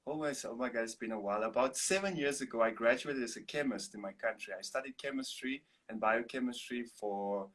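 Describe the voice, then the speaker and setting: A young man from Namibia whose first language is Afrikaans, chatting in a relaxed, rambling way as a guest on a video-call interview. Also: mid-range voice, fairly quick, few pauses. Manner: relaxed, rambling with restarts and laughs. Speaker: guest, singer-songwriter.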